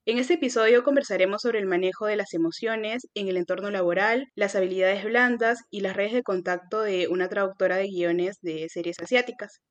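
A woman's voice: mid-range voice, no echo. Young woman hosting a student podcast, dry sound. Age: 20s. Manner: polite, read-from-script introductions. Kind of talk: scripted podcast interviewing.

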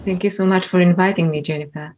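A woman's voice: on the low side, fairly even intonation, quick pace, a little mellow, a little hiss or hum. A woman with a Polish accent, an author and scholar answering interview questions in a thoughtful, earnest way. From Poland. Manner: thoughtful, earnest, with some restarts.

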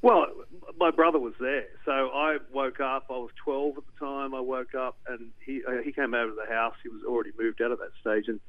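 A middle-aged man with an Australian accent, from Newcastle, talking as an interview guest, animated and rambling with restarts. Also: mid-range voice, brisk, few pauses. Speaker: guest, documentary director. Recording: tinny phone line.

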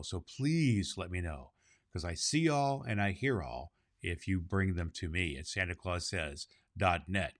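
A man playing a jolly Santa Claus, reading and hosting for children with theatrical, drawn-out phrasing. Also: on the low side, a bit bright, dry recording, studio quality. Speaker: host and story reader.

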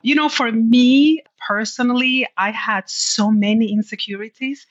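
A woman from the Dominican Republic, a native Spanish speaker with a Dominican accent, telling her story as a podcast guest in a candid, animated way. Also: on the high side, measured pace, breathy edge, dry recording. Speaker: guest, tech executive. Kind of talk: conversational podcast interview. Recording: dull lo-fi audio.

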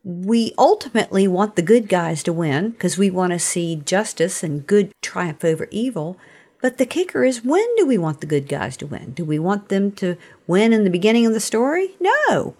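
A woman's voice: medium pitch, very animated, normal speed. A woman giving a conversational, faith-based teaching talk as a podcast host, earnest and emphatic, often posing rhetorical questions.

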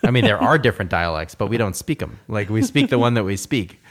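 A man's voice: lowish voice, big pitch swings, fairly quick, fairly bright tone. A man talking in a casual conversation, reflective and rambling, with many fillers and restarts. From United States.

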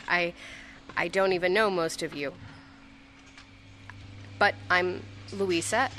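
Grieving young ex-girlfriend, bright forward voice, stammering, confessional, audio drama monologue, faint background noise. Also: on the low side. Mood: hesitant, awkward. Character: grieving, flustered young ex-girlfriend speaking at a memorial.

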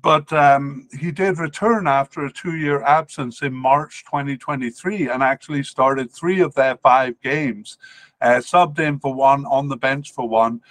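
A man's voice: medium pitch, a little slow, a bit bright, dry recording. Male podcast host from Wales, informal sports analyst, methodical and hesitant delivery, close dry home recording. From Cardiff, Wales. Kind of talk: informal player-by-player squad analysis.

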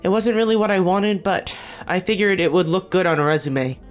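An actress playing a middle-aged government official in an audio drama, speaking candidly with wry, hesitant phrasing. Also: female voice, fairly low pitch, fairly quick, close to an everyday voice. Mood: earnest, candid. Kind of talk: naturalistic audio drama dialogue. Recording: music underneath. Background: background music.

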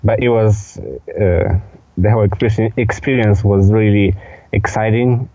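An eighteen-year-old man with a Polish accent, giving casual interview answers in a hesitant, halting way with repeated words. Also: on the low side, steady tone, dark tone, no echo, muffled recording. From Poland. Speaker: podcast guest, dance instructor.

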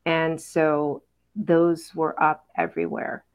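A middle-aged woman, a mother, talking things through in a reflective, casual conversation, pausing often with fillers. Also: low voice, flat delivery, smooth. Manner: thoughtful, unhurried, many fillers and pauses. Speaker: mother on a parenting talk panel.

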